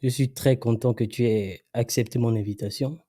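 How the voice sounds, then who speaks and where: Mellow-voiced male podcast host. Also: mid-range voice, steady tone, normal speed. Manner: friendly, encouraging questions.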